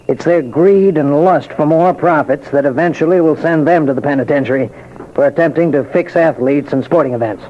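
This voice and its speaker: An actor playing a solemn, moralizing police commissioner who narrates a case formally in an old radio drama. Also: male voice, a little high, darkish tone, close to an everyday voice. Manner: formal, measured. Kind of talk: scripted 1940s-50s radio narration. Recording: muffled old radio broadcast.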